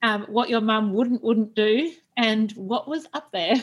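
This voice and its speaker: A woman's voice: fairly high pitch, a little slow. Enthusiastic woman podcast host. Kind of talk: casual interview chat.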